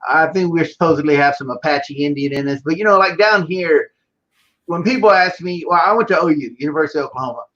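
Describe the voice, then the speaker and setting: Texan man, higher-pitched, slightly muffled recording. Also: fast talker, a bit bright. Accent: Texas. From Houston, Texas. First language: Spanish. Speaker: interview guest, business owner. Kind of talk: casual chat about his background.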